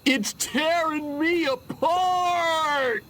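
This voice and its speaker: An actor playing a restless, dim 1950s teenage greaser in a video game, overwrought and drawling. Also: male voice, extremely high pitch, drawn-out words, sharp tone, exaggerated voice. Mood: overexcited.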